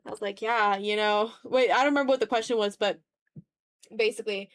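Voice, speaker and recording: A young adult woman chatting casually on an art livestream, animated and slangy, with a self-deprecating way of talking. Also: medium pitch, brisk. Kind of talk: casual livestream chat while drawing.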